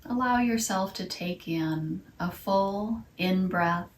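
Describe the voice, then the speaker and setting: A woman leading a guided meditation as a yoga teacher, talking softly and soothingly and sometimes sinking into a whisper. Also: mid-range voice, echoey room, far from the mic. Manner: slow, soft and soothing, drifting into whispers.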